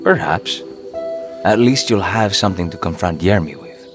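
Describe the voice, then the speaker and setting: An actor playing a serene, enigmatic author who serves as a guide in a video game, speaking in a measured, formal, philosophical way. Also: male voice, low-pitched, expressive, music in the background. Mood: gently amused. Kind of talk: cinematic game dialogue.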